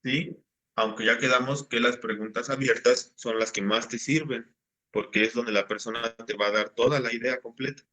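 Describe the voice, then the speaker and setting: A man's voice: medium pitch, steady tone, moderate pace, bright, forceful tone, low-quality recording. A man, patiently explaining assignments to his class over a video call. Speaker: teacher. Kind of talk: online class instruction.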